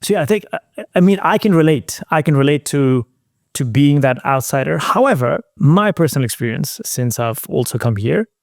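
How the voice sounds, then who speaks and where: A man's voice: on the high side, animated, moderate pace, creaky, a little mellow, dry recording, clean studio sound. A man hosting a casual conversation, telling personal stories in an informal, emphatic way. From outside the UK, moved to London as an adult. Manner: informal, emphatic, mild swearing. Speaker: interview host.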